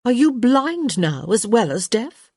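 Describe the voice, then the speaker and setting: A woman's voice: fairly high pitch, big pitch swings, unhurried, warm tone, slightly rough, no room sound, clean studio sound, voice pushed up, heavily acted. A woman voicing a witty young unmarried lady, arch, teasing and sarcastic, in a story reading.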